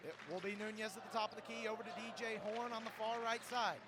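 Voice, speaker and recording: A man's voice: high voice, steady tone, fast. A man calling a game live as a radio play-by-play announcer. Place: indoor sports arena. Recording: noisy live sports arena broadcast.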